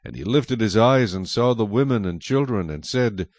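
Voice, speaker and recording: A man narrates scripture in a solemn, measured way. His voice is on the low side and recorded dry, with no room around it. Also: animated, darkish tone, no room sound.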